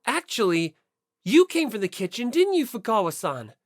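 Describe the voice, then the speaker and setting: An audiobook reader voicing an earnest, polite high school boy who speaks up a little tentatively. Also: sing-song, normal speed, dry recording, studio quality, natural voice. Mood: earnest inquiry. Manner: eager, tentative.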